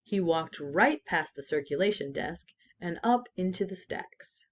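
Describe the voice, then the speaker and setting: A woman reading a children's story aloud expressively with deliberate emphasis, on slightly dull lo-fi sound. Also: fairly low pitch, animated, slight background noise.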